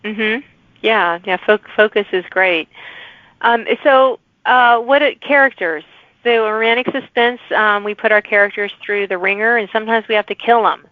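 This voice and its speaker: A woman's voice: medium pitch, normal speed, dry recording. A woman hosting a podcast, interviewing a guest with friendly questions and frequent encouraging affirmations. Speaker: podcast host. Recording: dry, phone-like remote line.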